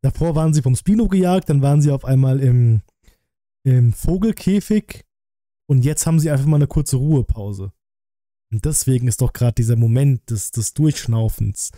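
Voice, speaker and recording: A man's voice: medium pitch, normal speed, warm tone, no room sound. A man chatting casually to his viewers in live-stream commentary, close on a desk microphone. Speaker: streamer reacting to a film review. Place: small room at a streaming setup. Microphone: close desk microphone, headset worn.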